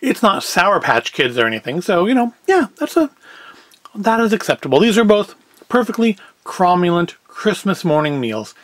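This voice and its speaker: A man's voice: sits high, very animated, moderate pace, no room sound. A man, chatting casually through a food review in a wry, self-deprecating, rambling way. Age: 40s. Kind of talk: casual review chat to camera.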